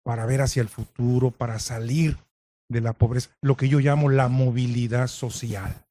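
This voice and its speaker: A man hosts the interview, setting up questions in a relaxed conversational way. His voice is mellow and dark. The recording is dry and close. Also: mid-range voice, moderate pace, no echo. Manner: conversational, frames questions with remarks. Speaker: interviewer host.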